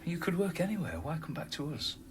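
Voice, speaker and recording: A man's voice: fairly high pitch, expressive, fast talker, lightly acted. A man playing a prying, awkward colleague over background noise. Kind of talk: radio drama acting. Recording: noisy ambient background.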